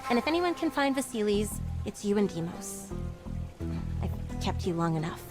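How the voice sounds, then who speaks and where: A woman's voice: mid-range voice, big pitch swings, normal speed, natural voice. Woman acting a sincere young Spartan cadet. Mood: grateful, sincere. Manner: earnest, formal. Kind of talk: video game voice acting. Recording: game music behind the voice.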